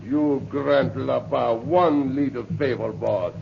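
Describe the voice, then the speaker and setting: An actor playing a brutish, boastful French overseer with a French accent and broken English, in a 1930s radio serial. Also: male voice, medium pitch, takes it slowly, vocal fry. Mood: wheedling. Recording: dull, noisy old radio recording.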